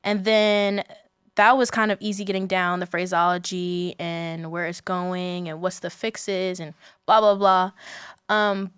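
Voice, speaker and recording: A woman's voice: on the low side, flat delivery, measured pace, fairly bright tone, smooth, no echo, studio quality. A young adult woman speaking as a student guest in a casual podcast interview, informal and candid in the way she talks.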